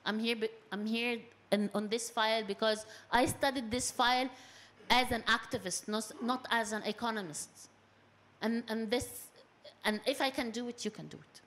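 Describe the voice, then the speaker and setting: High, slow-paced woman speaking on stage. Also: fairly bright tone, a little hiss or hum. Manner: halting, repeating words while searching for phrasing. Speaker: panel speaker, activist. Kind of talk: impassioned public talk. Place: indoor event hall stage. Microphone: handheld microphone.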